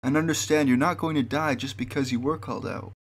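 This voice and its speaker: A man's voice: fairly high pitch, fairly even intonation, fast, a little hiss or hum. A man narrating a scripted explanatory video.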